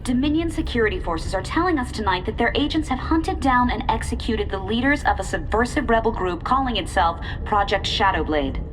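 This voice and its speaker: A woman's voice: a little high, animated, brisk, few pauses, recorded from a distance, background noise, natural voice. A woman playing a polished TV news anchor. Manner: polished newsreader delivery.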